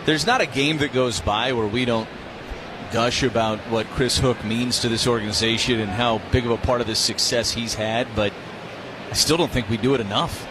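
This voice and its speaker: A man's voice: medium pitch, moderate pace. A man with an American accent giving conversational color commentary on a live baseball broadcast. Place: stadium broadcast booth. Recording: noisy ballpark ambience behind. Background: steady ballpark noise.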